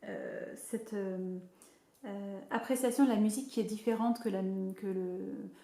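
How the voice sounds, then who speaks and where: Female interviewer, mid pitch, mellow tone, encouraging and prompting; roomy sound. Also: fairly even intonation, measured pace, creaky. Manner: encouraging, many short affirmations. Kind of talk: casual interview.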